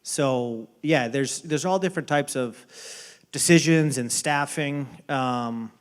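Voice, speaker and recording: A man with a Boston accent answers questions at a casual pace, his voice smooth and resonant. He fills his answers with ums and restarts, sounding easygoing and unhurried. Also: medium pitch. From Boston area. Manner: relaxed, many ums and restarts. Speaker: baseball front office executive. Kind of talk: casual interview at a dinner event.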